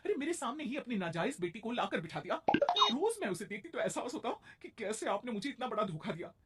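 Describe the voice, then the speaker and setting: Woman acting a scornful, bitter stepmother, swooping pitch. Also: very animated, a little fast, heavy background noise. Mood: furious. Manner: taunting, complaining. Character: bitter, resentful middle-aged stepmother, scornful and nagging. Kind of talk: Hindi moral-story cartoon acting.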